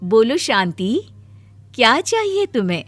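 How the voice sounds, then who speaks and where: A woman voicing a small, motherly tree fairy with a gentle, reassuring manner in a cartoon story. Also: fairly high pitch, very animated, unhurried, dry recording, faint music behind. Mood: benevolent. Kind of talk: Hindi cartoon story acting.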